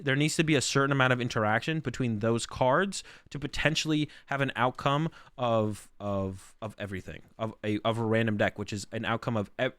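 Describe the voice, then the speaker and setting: A man's voice: medium pitch, a bit bright, no echo, studio quality. A man who is sick and losing his voice, rambling through casual stream commentary with lots of fillers. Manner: rambling, filler-heavy, thinks aloud.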